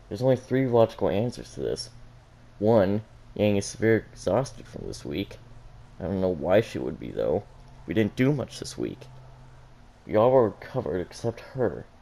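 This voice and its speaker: A reserved young woman thinks to herself in a story, read by a man in an everyday voice. The voice is fairly low and very mellow, introspective and puzzling things through. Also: vocal fry, exaggerated voice. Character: pensive, reserved young woman puzzling over her feelings.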